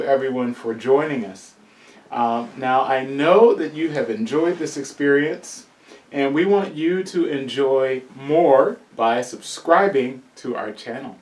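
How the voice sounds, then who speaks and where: A man hosts a small talk show. He chimes in with brief affirmations and wraps up with a friendly sign-off. His voice sits back from the microphone in a room with a clear echo. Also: mid-range voice. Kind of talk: casual interview hosting. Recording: roomy, distant from the mic.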